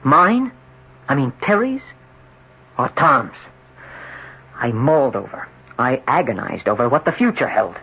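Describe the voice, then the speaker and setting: A man's voice: medium pitch, big pitch swings, a little slow, creaky, pauses often, breathy edge, heavily acted. An actor playing an anguished, jealous young surfer trapped in a rich man's body, telling his story confessionally in old-time radio drama style. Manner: dramatic, confessional, swinging from musing to agitated. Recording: lo-fi noisy vintage radio drama recording.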